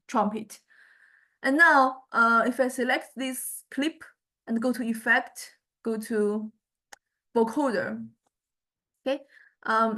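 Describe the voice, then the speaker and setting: A woman teaches in an informal, unscripted way, walking through a software demo. Her voice sits fairly high and she takes her time. The phrasing is halting and hesitant, with frequent little check-ins. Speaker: lecturer.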